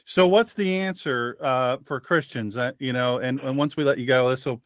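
A man in his fifties hosting an opinionated radio talk show, often repeating words for emphasis in a halting way. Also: medium pitch, no room sound, clean studio sound. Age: 50s.

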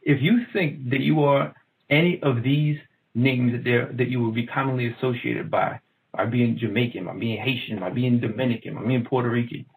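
A man's voice: mid-range voice, fairly even intonation, normal speed, gravelly, poor audio. A man reading scripture aloud and adding earnest comments in a Bible study. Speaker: scripture reader and co-host.

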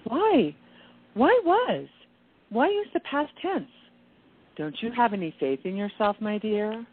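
A woman's voice: medium pitch, big pitch swings, slow pace. A woman acting a gushing, theatrical singer who speaks effusively and affectionately, in a radio play. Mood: earnest, urging. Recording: tinny phone-like lo-fi audio.

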